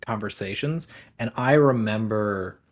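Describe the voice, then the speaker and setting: Man, slight lisp, somewhat low pitch, worn voice, casual stream chat, self-deprecating. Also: a little slow. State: voice worn from talking. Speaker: guest.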